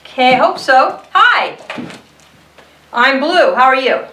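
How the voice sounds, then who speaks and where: A woman's voice: sits high, animated, slow pace, pushed and bright, a little hiss or hum, exaggerated voice. A woman voicing a timid, humble, worn-out little blue crayon with hesitant, polite delivery in a children's storybook read-aloud. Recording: roomy echo, distant mic.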